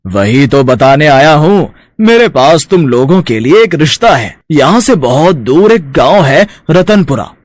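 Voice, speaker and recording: A man's voice: a little high, sing-song, a little slow, hardly any pauses, no room sound, studio quality. A man voicing a kindly, fatherly village headman in a storybook tale, reassuring in manner.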